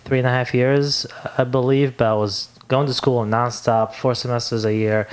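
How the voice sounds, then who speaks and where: A young man from Libya speaks, English plainly a to him. He talks as a podcast guest in a casual, halting way, pausing often to find the right word. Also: mid-range voice, fairly even intonation. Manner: halting, searches for words. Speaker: podcast guest, business owner. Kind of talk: casual interview chat.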